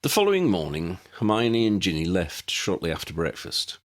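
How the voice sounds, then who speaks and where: Guy reading an audiobook, low voice, really lively intonation. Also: moderate pace. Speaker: audiobook narrator. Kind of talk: scripted fiction narration.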